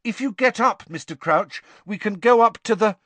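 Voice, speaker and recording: An alarmed teenage boy speaks loudly and insistently, as a man reading the part lifts his voice into a higher, pushed register. The recording is dry and close. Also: normal speed, no room sound. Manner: loud, insistent.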